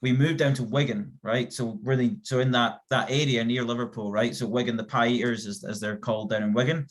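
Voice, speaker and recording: A man's voice: medium pitch, steady tone. A man from Scotland co-hosting a podcast, telling stories in casual, chatty talk with lots of fillers. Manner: chatty, fast-shifting storytelling with fillers. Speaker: podcast co-host. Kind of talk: casual chat.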